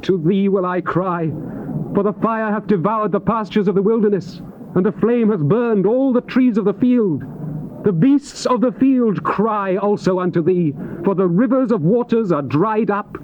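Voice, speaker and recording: Old testament prophet, high voice, solemn and declamatory, dull hissy cassette sound. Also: male voice. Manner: solemn, declamatory, with drawn-out emphatic phrases. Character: fervent male Old Testament prophet crying lament and warning. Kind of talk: dramatized scripture recitation, classical stage declamation.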